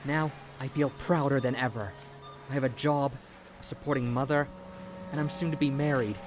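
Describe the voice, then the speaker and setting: A man's voice: mid-range voice, steady tone, moderate pace, over music, lightly acted. A man playing a shy, earnest young former student, full of gratitude, in a dramatic audio story reading. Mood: grateful.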